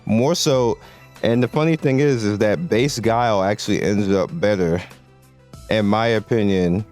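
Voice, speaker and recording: Low-ish guy, kinda hoarse, chill slangy rambling about a game. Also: measured pace, a little mellow, soft background music. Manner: laid-back slangy rambling with restarts. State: losing his voice. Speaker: mobile game content creator. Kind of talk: casual game commentary.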